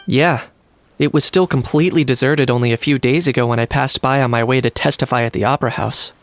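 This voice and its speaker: A man playing a calm, polite and dryly wry young adventurer in a video game, composed and understated. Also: mid-range voice, fast, dry recording, natural voice. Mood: calm, casual.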